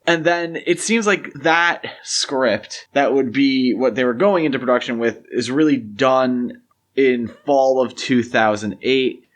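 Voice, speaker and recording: A man's voice: medium pitch, measured pace. Man hosting a casual podcast. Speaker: podcast host. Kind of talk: casual film discussion podcast.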